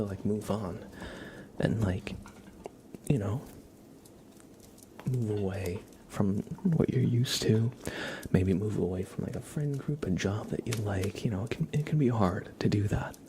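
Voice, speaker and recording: A young adult man speaking softly and gently as an ASMR livestreamer, half whispering as he chats casually to viewers. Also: lowish voice, slight background noise. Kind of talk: soft-spoken ASMR, casual chat with viewers.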